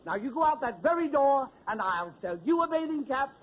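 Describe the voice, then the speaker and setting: An actor playing a fussy, exasperated store manager in a 1940s radio comedy. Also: male voice, very high voice, big pitch swings, a little fast, narrow, tinny audio. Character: fussy middle-aged male store manager. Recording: scratchy 1940s radio broadcast.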